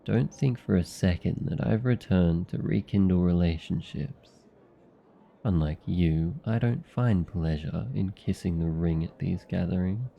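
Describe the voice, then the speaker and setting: Man acting as brooding demon younger brother, very low creaky voice, cold, curt, serious, fantasy audio roleplay, slightly dull recording. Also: unhurried, exaggerated voice. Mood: cold, scornful.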